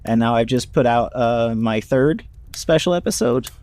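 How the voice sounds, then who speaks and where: A man talking casually and reflectively as a podcast cast member and producer, telling his story in an unhurried way. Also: medium pitch, darkish tone, no echo. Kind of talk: casual chat.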